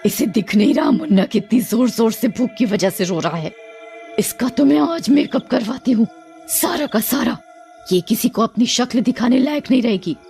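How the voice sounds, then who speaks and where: A woman voicing a stern ghost witch who was once a young mother, scolding and menacing, in an animated horror story. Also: fairly high pitch, normal speed, gravelly, soft background music. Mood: angry, vengeful.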